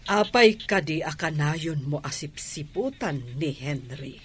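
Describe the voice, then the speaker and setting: An actress playing a trusting, worried wife in a radio drama, with an Ilocano accent. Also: female voice, low voice, lively intonation, a little slow, slight background noise. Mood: defensive. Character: trusting, worried wife, gently defending her man. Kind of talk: Filipino radio drama acting.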